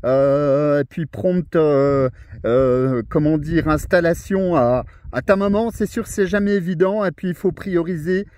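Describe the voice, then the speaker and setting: A man's voice: a little high, a little slow, darkish tone, no room sound, studio quality. A man from France, an amateur radio operator chatting casually during a radio contact. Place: outdoors on a mountain, over a thousand metres.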